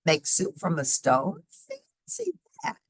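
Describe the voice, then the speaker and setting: A woman voicing a gullible little old lady in a storybook, with drawn-out exclamations. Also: sits low, wildly swooping pitch, slightly rough, no echo, studio quality, heavily acted. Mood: amazed.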